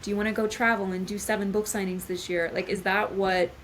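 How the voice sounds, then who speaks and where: A woman's voice: medium pitch, little pitch movement, fast, slight background noise. A woman guest author chatting casually on a podcast, using lots of fillers and laughing often.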